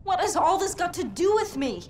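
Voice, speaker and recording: An actress playing an embittered, defiant young woman in a dramatic video game, questioning in a sharp, confrontational way. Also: extremely high pitch, very animated, moderate pace, a little hiss or hum. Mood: bitter, weary. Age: young adult.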